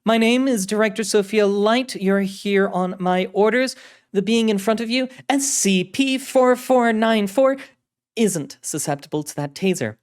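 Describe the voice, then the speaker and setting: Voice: medium pitch, unhurried, clear, resonant tone, voice pushed up, natural voice. A narrator voicing an authoritative female director in an audio story, giving orders in a composed, formal, commanding manner. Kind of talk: audio story character dialogue.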